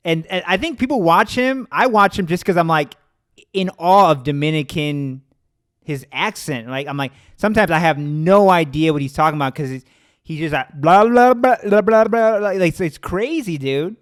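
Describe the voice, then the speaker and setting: Chicago man in his thirties hosting a chat show, slightly high voice, animated and quick to laugh, dry close mic. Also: dry recording. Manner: animated, laughs often, trails into restarts. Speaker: podcast host. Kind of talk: casual conversation.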